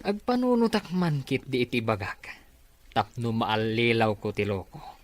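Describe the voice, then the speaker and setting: A man acting as a greedy, plotting villain in an Ilocano radio drama. His Filipino-accented voice is medium in pitch and very animated, swinging up and down. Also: moderate pace, dry recording, lightly acted. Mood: scheming. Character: scheming greedy male villain after the business.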